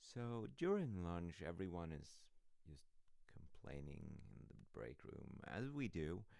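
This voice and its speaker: A very low-voiced man acting as a doting, cheeky husband speaks slowly and intimately, close and dry. His delivery swings widely in pitch, teasing and sheepish in the manner of a bedside ASMR roleplay. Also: vocal fry, dry recording, heavily acted. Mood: animated, wry. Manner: teasing, halting, hushed stretches breaking into animated bursts. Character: sassy, loving husband confessing sheepishly to his sleepy wife.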